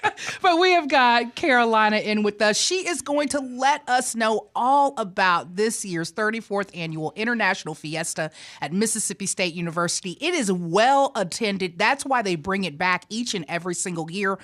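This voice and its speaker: Female host, upbeat and teasing; mid-pitched, conversational. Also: expressive, moderate pace, fairly bright tone. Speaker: TV show host. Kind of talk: casual interview chat.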